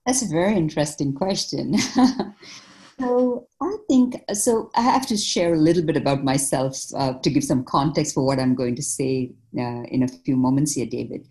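A woman from Malaysia, a social worker and mother, answering interview questions in a reflective, measured way with frequent fillers. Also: low-pitched, lively intonation, mellow.

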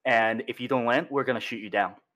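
A man's voice: mid-range voice, fairly quick, background noise. A man from China, a podcast guest, telling a story in a rambling way with many fillers and restarts. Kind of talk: casual storytelling chat.

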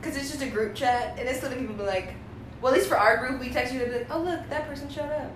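A woman's voice: high voice, fast, a little hiss or hum. A young woman chatting casually on a student podcast, joking and theatrical in her delivery. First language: Spanish. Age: young adult. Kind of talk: casual chat. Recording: echoey room.

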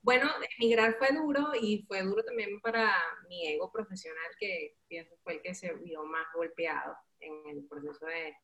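A woman's voice: on the low side, moderate pace, hardly any pauses, fairly bright tone. Venezuelan woman confiding in a roomy space. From Valencia, Venezuela. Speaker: interview guest, radio and event host. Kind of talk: candid personal storytelling. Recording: roomy, echoing sound.

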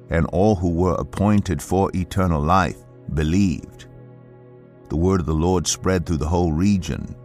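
Low-pitched man narrating scripture. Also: a little mellow. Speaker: audio Bible narrator.

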